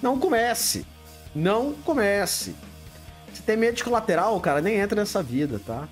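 Blunt, animated Brazilian man streaming. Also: normal speed, faint music behind. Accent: Brazilian Portuguese. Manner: blunt, greets viewers by name, lively swings in pitch. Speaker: livestream host answering viewer questions. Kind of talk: casual live chat. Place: home studio room lit with colored LEDs.

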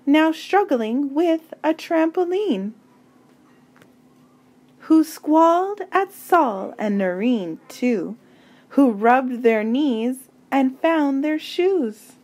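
High-voiced woman reading a storybook very slowly. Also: animated, a little mellow. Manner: deliberate, dramatic phrasing with emphatic pauses. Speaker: storybook reader. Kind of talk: children's picture-book read-aloud.

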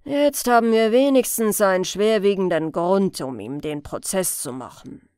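Audiobook crime story: dry, sardonic chief inspector, deliberate and lively in pitch read by a woman, close dry sound. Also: smooth, no echo, close to an everyday voice. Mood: satisfied. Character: dry, sly male police chief inspector, quietly venomous.

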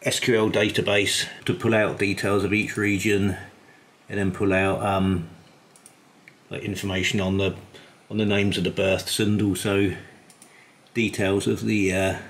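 A man's voice: low voice, level tone, measured pace, fairly bright tone. A man casually explaining a program he made, in an informal, hesitant way. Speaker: app developer demonstrating his program. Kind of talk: casual screen demo narration.

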